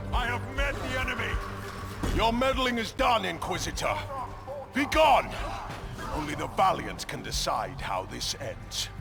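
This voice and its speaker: A righteous armored war leader for a video game, barking defiant orders. His delivery is high-pitched for a man and swings widely, grim and commanding, over prominent music. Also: gravelly, full character voice. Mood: stern, defiant. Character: fierce, righteous space marine chapter master commander.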